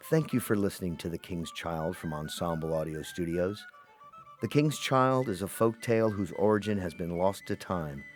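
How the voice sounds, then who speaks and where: A man reading scripted closing credits as an announcer for an audio production. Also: on the low side.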